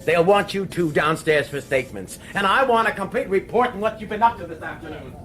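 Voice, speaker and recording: Agitated, high-voiced police commissioner, noisy. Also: male voice, expressive, fairly quick, a bit bright. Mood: agitated, brusque. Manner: brusque, agitated. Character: flustered, brusque police commissioner, irritable and defensive. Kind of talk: radio drama acting.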